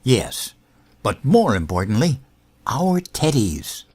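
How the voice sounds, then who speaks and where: Male actor as earnest, bumbling American president for audio comedy; very lively pitch swings, hesitant stammer, childlike sincerity. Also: slow, breathy edge, exaggerated voice. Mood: fond, sentimental. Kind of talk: comedic audio drama acting.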